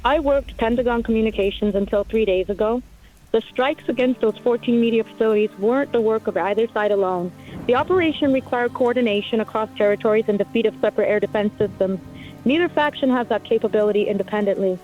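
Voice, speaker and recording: A woman's voice: fairly high pitch, quick pace, natural voice. A woman playing a military communications insider calling a radio show in a war drama, speaking in precise, formal briefing style. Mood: serious, precise. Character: serious female military communications insider turned whistleblower. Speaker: radio caller. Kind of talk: scripted testimony, audio drama. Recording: dull narrow-band call with music behind.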